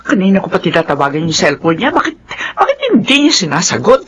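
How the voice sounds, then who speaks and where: A woman's voice: fairly low pitch, sing-song, fast, background noise. A woman acting a fretful, exasperated character in a Filipino radio drama. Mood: urgent, scolding.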